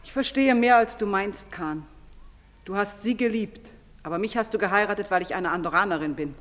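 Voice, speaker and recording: A woman's voice: medium pitch, a little fast, close to an everyday voice. An actress playing a proud, betrayed wife and mother, stern and accusing in declamatory stage style. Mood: bitter, accusing. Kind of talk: theatrical stage-play acting. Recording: long reverberant hall sound.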